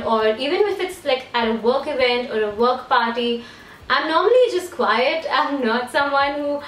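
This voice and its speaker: A woman's voice: on the high side, distant mic. A young woman from India chatting casually to camera in a room at home, rambling and self-deprecating. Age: young adult. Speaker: beauty YouTuber. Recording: roomy echo, voice a bit off-mic.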